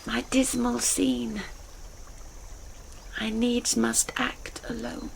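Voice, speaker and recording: A woman's voice: fairly high pitch, level tone, drawn-out words, vocal fry, slight background noise, exaggerated voice. A British actress playing a frightened young noblewoman in a Shakespearean radio drama, in a dramatic soliloquy that slips into whispers. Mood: dread. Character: frightened, lovelorn young noblewoman steeling herself.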